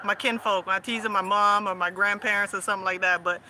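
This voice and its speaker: A woman's voice: medium pitch, fairly even intonation, fast, sharp tone, slight background noise. A woman with a Southern US accent hosting a casual chat, laughing often and teasing her audience. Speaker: host.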